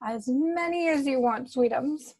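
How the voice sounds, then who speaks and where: A woman's voice: high-pitched, unhurried, darkish tone. A woman playing a fussy mother who dotes and snaps, in an amateur screenplay table read. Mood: cooing. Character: doting, fussy mother and snappish aunt.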